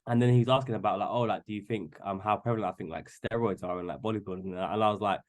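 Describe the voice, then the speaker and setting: A man's voice: lowish voice, fairly even intonation, very fast. A man in his twenties, a podcast guest, talking casually with many restarts and fillers. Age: 20s. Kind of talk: casual chat.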